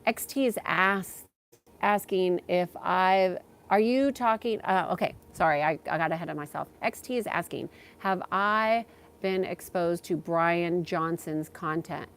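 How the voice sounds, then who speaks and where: A woman host chatting casually on a livestream as a spiritual guide, thinking aloud in a halting way as she takes viewer questions. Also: fairly low pitch.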